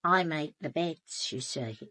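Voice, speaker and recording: An actress playing a gossipy, blunt English housekeeper in a British radio drama, chatty, colloquial and confiding. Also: female voice, low voice, measured pace, sharp tone, no echo. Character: gossipy, blunt, sharp-eyed housekeeper, a working-class woman. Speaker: actor in a radio murder mystery.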